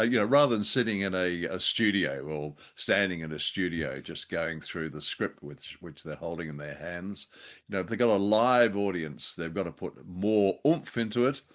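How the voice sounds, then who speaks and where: Wry, low-voiced man chatting. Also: moderate pace, a bit bright. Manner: wry, jokey. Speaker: podcast co-host. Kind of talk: casual review chat.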